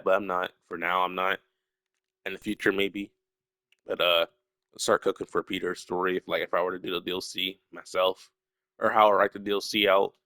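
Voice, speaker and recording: Young man, stutter, very deep voice, bright forceful tone, dry close sound, rambling casual monologue. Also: gravelly, no room sound. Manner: stutters, filler-heavy, restarts often. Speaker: solo video creator.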